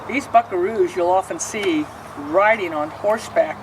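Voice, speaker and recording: A woman reading a rhyming picture book aloud, pausing to explain the pictures. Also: lowish voice, distant mic, background noise. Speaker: storybook reader.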